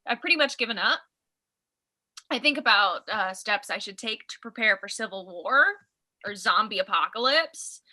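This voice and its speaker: An actress playing an overwhelmed, anxious young woman student in a play reading, speaking in a halting, fretful and wry way. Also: medium pitch, lively intonation, pushed and bright. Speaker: actor in a staged play reading. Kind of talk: naturalistic dramatic dialogue, read online.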